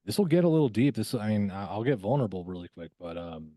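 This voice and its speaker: A man who works as a voice actor, talking casually as a podcast guest, reflective and halting, often repeating words as he finds his way. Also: on the low side, expressive, dark tone, no room sound.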